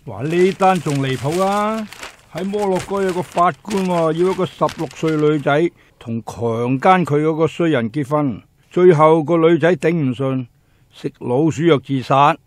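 A man's voice: fairly high pitch, moderate pace, slight background noise, natural voice. An actor playing a gossipy, exclamatory male teahouse customer with a Hong Kong accent in a radio drama. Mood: outraged. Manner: exclamatory, goading.

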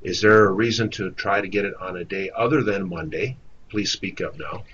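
A man moderating an informal work conference call in a halting, tentative way. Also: low voice, fairly bright tone. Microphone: conference call microphone. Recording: muffled, noisy conference-call audio.

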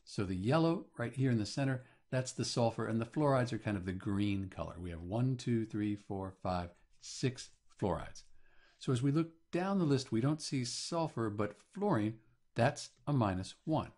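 A man with a husky voice narrating an explanatory tutorial as a teacher, step by step in plain words. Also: mid-range voice, lively intonation, moderate pace, darkish tone. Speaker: chemistry teacher.

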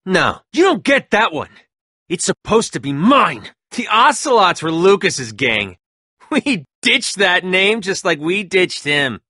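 A cocky, sneering young gang leader taunts his rivals with mocking laughs in a game. His voice swings widely in pitch and has a bright, sharp edge. The studio recording is dry and close. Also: no room sound, heavily acted. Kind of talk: video game voice acting.

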